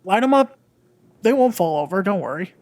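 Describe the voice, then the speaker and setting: A man's voice: high voice, sing-song, moderate pace, darkish tone. A man playing a laid-back gym leader who runs a motel, casual and reassuring, in a tabletop roleplay. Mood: casual, unbothered.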